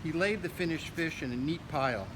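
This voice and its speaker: A man's voice: on the high side, a little fast. A man reading a novel aloud as a volunteer reader, now and then stumbling over a word. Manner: occasional stumbles over words. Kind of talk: audiobook-style reading of a novel. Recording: noisy outdoor ambience with vehicles.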